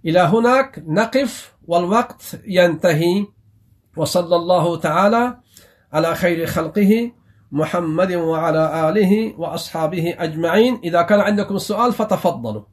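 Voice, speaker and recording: A man from Afghanistan teaching grammar, who explains slowly and repeats phrases while asking himself rhetorical questions. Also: high-pitched. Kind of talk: grammar lesson.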